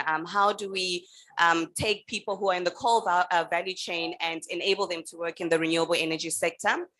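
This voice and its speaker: A woman answering on a panel, measured and steady with frequent pauses and fillers. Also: medium pitch. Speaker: energy utility representative on a panel.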